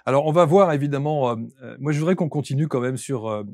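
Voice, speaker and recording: A man's voice: mid-range voice, quick pace, darkish tone, dry recording, studio quality. A man hosting an interview, asking long, probing questions and murmuring along as his guest answers. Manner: probing, long winding questions, attentive back-channel murmurs. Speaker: interview host.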